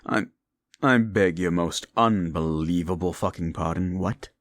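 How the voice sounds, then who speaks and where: A man's voice: a little slow, no room sound. A man voicing a scornful male demon in an audio roleplay, taunting and mocking the listener with a profane tongue. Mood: incredulous mocking. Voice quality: deep and gravelly.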